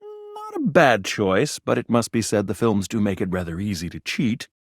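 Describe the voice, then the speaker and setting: A man's voice: on the low side, huge pitch swings, moderate pace, dry recording, studio quality, heavily acted. A man voicing a pretentious intellectual writer in a video game, speaking with pompous, ornate diction. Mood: haughty, witty. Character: pretentious, flowery, intellectual male writer and dandy. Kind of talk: video game character dialogue.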